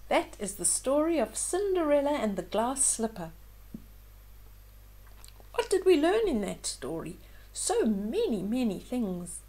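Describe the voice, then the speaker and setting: Slow-paced older woman reading a storybook. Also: medium pitch. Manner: expressive, explains pictures in simple words. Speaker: children's storyteller, host. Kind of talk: storybook read-aloud with asides to young listeners.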